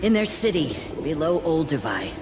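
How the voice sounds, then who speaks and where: A woman's voice: low voice, very animated, normal speed, noisy background. A woman playing a haughty, desperate station director in a cinematic game, speaking with dramatic emphasis. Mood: pleading.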